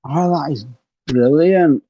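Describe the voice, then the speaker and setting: A man's voice: on the high side, slow pace, dark tone, rough recording. A middle-aged man hosting a podcast in a rambling, self-mocking casual chat, close to a dry mic. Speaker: podcast host.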